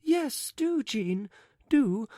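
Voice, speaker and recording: A woman's voice: on the high side, big pitch swings, extremely slow, mellow, no echo, clean studio sound, full character voice. A woman voicing a flighty, chattering society lady with breathless, fluttery speech. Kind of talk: audiobook character voice.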